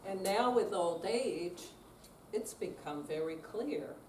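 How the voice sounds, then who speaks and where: A woman's voice: mid-range voice, animated, slow, a little mellow. An older woman giving a dramatic reading of verse in a live readers theater performance. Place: senior center room, mic at a distance. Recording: echoey room, distant, faint noise.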